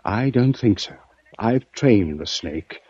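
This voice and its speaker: An actor playing a desperate, earnest doctor and snake expert in an old-time radio drama, polite, halting and pleading. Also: male voice, fairly low pitch, animated, a little mellow, slightly rough, dry recording, full character voice. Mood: anxious, insistent. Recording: dull, dry vintage radio recording.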